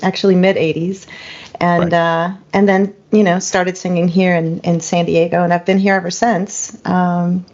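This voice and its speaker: A middle-aged woman from Spain, French her first language, speaks in a low, unhurried voice. She is a singer-songwriter guest who reflects calmly with plenty of ums and you-knows. The sound is dull and lo-fi. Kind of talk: casual interview chat. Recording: dull lo-fi recording.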